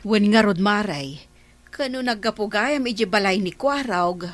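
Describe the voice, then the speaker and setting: A woman's voice: mid-range voice, animated, unhurried, close to an everyday voice. A woman playing a gossipy villager passing on hearsay in an Ilocano comedy radio drama, chatty and exclamatory, with an Ilocano accent. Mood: gossiping.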